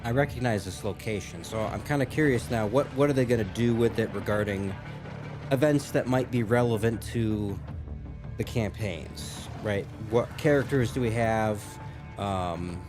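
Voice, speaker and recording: Casual, musing man hosting a gaming reaction. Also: fairly low pitch. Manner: musing, hedging, thinking aloud.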